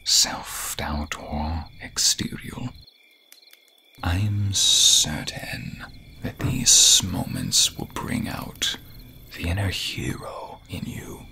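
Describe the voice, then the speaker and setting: A man voicing a gentle, grateful snake-man guardian in an ASMR roleplay, speaking in a breathy whisper with formal, archaic phrasing. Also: low voice, drawn-out words, full character voice. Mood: reassuring.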